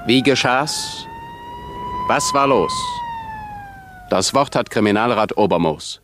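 Vintage radio mystery announcer: portentous, slow, highly theatrical intonation, noisy archive sound. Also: male voice, wildly swooping pitch. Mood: portentous, dramatic. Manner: dramatic, portentous, clipped questions. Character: solemn male announcer of a crime mystery series. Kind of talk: 1960s German radio announcing. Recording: noisy old radio recording with siren.

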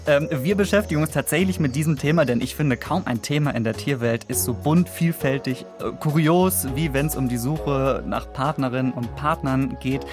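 A man's voice: mid-range voice, moderate pace, hardly any pauses, over music. A man hosting a radio podcast, chatting playfully with a guest and using many fillers. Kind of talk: casual radio chat.

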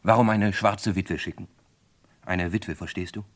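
Nervous groom in an old 60s radio play, lowish voice, hesitant, confiding in a friend. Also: male voice, steady tone, measured pace. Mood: worried, hesitant. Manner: anxious, hesitant. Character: worried bridegroom confiding in his detective friend. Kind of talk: 1960s German radio crime drama acting.

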